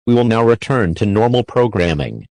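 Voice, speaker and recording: A man reads a scripted emergency broadcast announcement in a formal, measured manner, as an alert announcer. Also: lowish voice, sing-song, darkish tone, no room sound.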